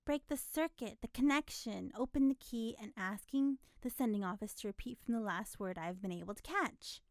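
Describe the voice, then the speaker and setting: A woman's voice: a little high, normal speed, dry recording, close to an everyday voice. A woman voicing a harried young telegraph operator who answers tartly and impatiently, in a voice raised above her own. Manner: tart, impatient replies.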